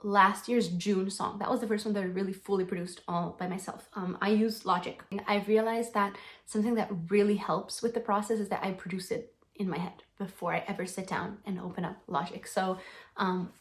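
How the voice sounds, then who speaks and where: A woman's voice: mid-range voice, steady tone, brisk. A young woman with Slovenian as her first language, chatting casually to the camera as a singer-songwriter answering fan questions, bubbly and gushing, with frequent ums and restarts. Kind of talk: casual Q&A vlog.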